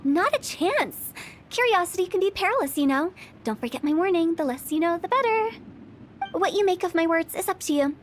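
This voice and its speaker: A mischievous young funeral director in a game cutscene, her voice set high. She teases lightly, then lowers into grave, measured storytelling about life and death. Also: expressive, slight background noise. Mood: solemn. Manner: teasing, then solemn when explaining. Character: playful young woman funeral parlor director.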